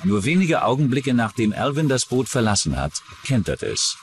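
A man's voice: mid-range voice, sing-song, moderate pace, a little hiss or hum. A man reading scripted true-crime documentary narration.

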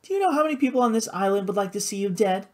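A woman's voice: medium pitch, very animated, normal speed, a little mellow, breathy edge, pitched above the performer's own voice. A woman voicing a playful, defiant young socialite sister in theatrical audiobook dialogue. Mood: taunting. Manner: teasing, theatrical.

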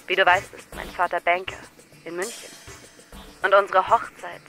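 A woman's voice: fairly low pitch, flat delivery, normal speed, bright, forceful tone. A woman playing a young, self-absorbed, condescending well-to-do wife in a surreal radio play. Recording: music under the voice.

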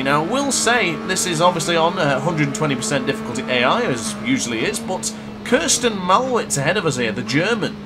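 A young man with a cold, brisk and congested, commentating a motorbike race in a game. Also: a little high. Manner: brisk commentator-style narration, self-correcting. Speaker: gaming commentator. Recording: loud game music behind.

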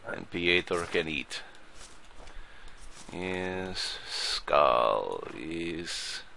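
A low, gravelly voice growls out curt, broken English as a grumpy, crude, overworked border inspector, as a man playing a game puts him on. He drawls slowly with a tense, edgy bite. The voice stays gruff and dismissive. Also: animated, sharp tone, a little hiss or hum, full character voice. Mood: gruff grumbling. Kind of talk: let's play commentary in character.

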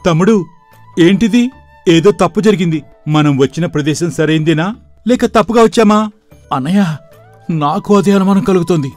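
A man voicing an earnest, excitable young village brother in an animated moral story, with emphatic delivery. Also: high voice, normal speed, long pauses, close to an everyday voice. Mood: puzzled. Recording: voice over background music.

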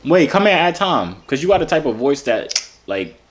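A man's voice: on the high side, big pitch swings, normal speed. A man, chatting casually and flirtatiously in a playful, teasing way. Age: 20s. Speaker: vlogger chatting with strangers online. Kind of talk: casual flirty video chat. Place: indoor home gym or garage. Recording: clearly noisy room recording with clicks.

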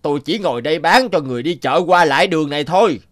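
Give this cartoon folk tale its lazy young woodcutter. He speaks in a raised male pitch. He grumbles and dismisses whatever is asked of him. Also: lively intonation, fast, a bit bright. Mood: grumbling. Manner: complaining, dismissive.

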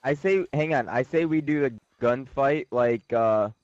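A man's voice: medium pitch, measured pace, darkish tone, no room sound, muffled recording. A man talking casually as a player in an online gaming voice chat.

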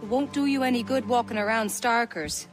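An actress playing a kindly old wife in a video game, folksy, fussing and gently chiding. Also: female voice, on the high side, a little fast, over music, lightly acted. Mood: motherly. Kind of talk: video game cutscene acting.

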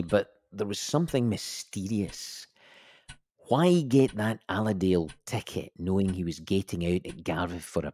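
Low-voiced, officious male investigator. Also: a little mellow. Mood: puzzled, methodical. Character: dry, officious male investigator of a suspicious death. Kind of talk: audio drama monologue.

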